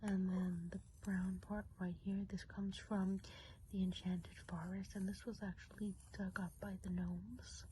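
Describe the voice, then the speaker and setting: A woman whispering softly and breathily in an unhurried, reassuring ASMR role play as a kindly woodland witch. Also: mid-range voice, flat delivery, low-quality recording. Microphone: close microphone. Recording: close whisper with audible background hiss.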